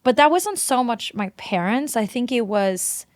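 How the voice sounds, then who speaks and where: A woman's voice: fairly high pitch, lively intonation, unhurried, no echo. A woman from Sweden talking as a podcast guest, telling personal stories candidly and informally, with "like" sprinkled through her speech. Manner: informal, filler "like" very frequent. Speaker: podcast guest, singer-songwriter. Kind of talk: candid conversational storytelling.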